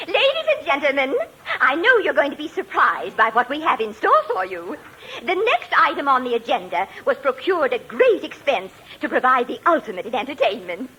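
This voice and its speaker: A woman's voice: sits high, big pitch swings, normal speed, pushed and bright, faint music behind, exaggerated voice, low-quality recording. A woman playing a grandiose stage announcer with pompous, declamatory showmanship, in 1950s radio comedy on an old broadcast recording. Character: grandiose stage announcer introducing an amateur vaudeville act.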